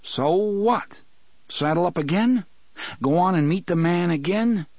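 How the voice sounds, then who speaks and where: A man voicing a tearful young man who is angry and pleading, speaking in a quick, strained voice raised above the narrator's own. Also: high voice, darkish tone, no room sound, voice pushed up. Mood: bitter, pleading. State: crying.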